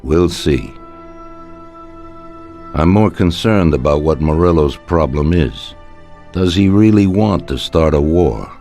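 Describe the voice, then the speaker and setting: An actor playing a grave, deliberate mob boss in a video game. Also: male voice, a bass voice, lively intonation, mellow, soft background music, full character voice. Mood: grave, wary.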